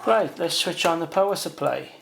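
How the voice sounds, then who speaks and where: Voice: medium pitch, moderate pace, vocal fry, a bit bright, a little hiss or hum. A hobbyist presenter giving a casual beginner's how-to, talking plainly and without hurry through each step while working.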